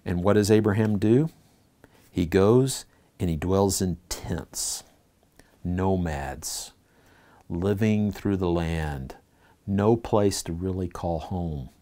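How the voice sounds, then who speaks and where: A man's voice: deep voice, mellow. A man teaching a church Bible class, reading scripture aloud and putting earnest questions to his listeners.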